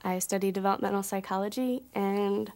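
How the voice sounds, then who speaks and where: A woman's voice: medium pitch, steady tone, brisk, darkish tone. A woman graduate student introducing herself casually, with a slight hesitation. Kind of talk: self-introduction.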